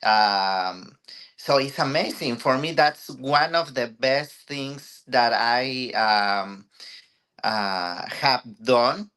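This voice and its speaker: Female interview guest, Mexican accent, native Spanish, low clear voice, unhurried and hesitant in phrasing. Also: a bit bright. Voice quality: deep, low voice for a woman. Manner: halting, non-native English with repetitions. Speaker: interview guest, bodybuilder and trainer. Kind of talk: casual interview answers.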